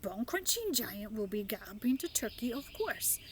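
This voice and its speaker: A woman's voice: a little high, sing-song, normal speed, a little hiss or hum. A woman voicing a big friendly male giant in a storybook, with garbled folksy grammar and made-up words. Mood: matter-of-fact.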